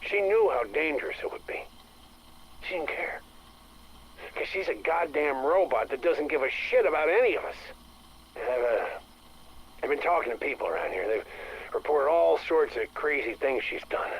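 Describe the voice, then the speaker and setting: An actor playing a distressed maintenance director confessing into a game audio log, halting, stammering and bitter. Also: male voice, fairly high pitch, animated, creaky. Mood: bitter, alarmed. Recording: faintly noisy recorded audio log.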